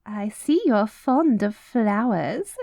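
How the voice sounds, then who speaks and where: A woman's voice: mid-range voice, animated, slow pace, gravelly, dark tone, dry recording. A woman voicing a haughty, self-righteous good queen with a cruel streak, in pompous, theatrical fantasy roleplay style. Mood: haughty, menacing. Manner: pompous, theatrical, mock-archaic diction. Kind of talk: scripted fantasy audio roleplay.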